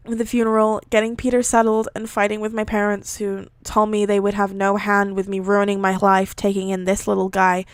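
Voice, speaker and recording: A grieving man, played by a woman in a story reading, weary and apologetic. He speaks earnestly and with pain, at a middle pitch in a dry recording. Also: clear, resonant tone, dry recording, close to an everyday voice. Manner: pained, earnest.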